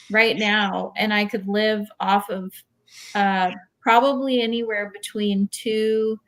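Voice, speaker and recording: Woman, medium pitch, informal panel talk, earnest, advisory, explanatory. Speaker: real estate investor on a panel.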